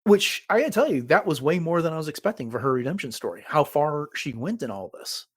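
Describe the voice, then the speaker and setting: A man's voice: on the high side, animated, brisk, breathy edge. A man hosting a podcast, casually recapping a story and thinking aloud as he talks. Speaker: podcast host.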